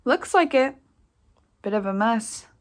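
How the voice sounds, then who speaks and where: A woman's voice: a little high, animated, a little slow. A woman acting a practical, matter-of-fact sister of few words in an audio play. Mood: dry, amused. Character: practical, matter-of-fact sister helping search a derelict family home. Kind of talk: naturalistic audio play dialogue.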